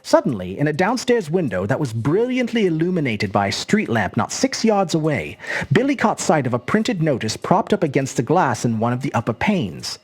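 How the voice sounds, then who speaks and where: An expressive storyteller reads scripted fiction in a husky voice. The pitch moves dramatically across a very wide range, with measured phrasing over faint music. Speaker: storyteller, host. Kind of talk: scripted narration of a short story.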